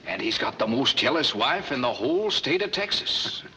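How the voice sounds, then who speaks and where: An actor playing a confident, brisk male schemer with an assured, wisecracking manner in an old film. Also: mid-range voice, very animated, natural voice. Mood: wry. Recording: noisy old film soundtrack.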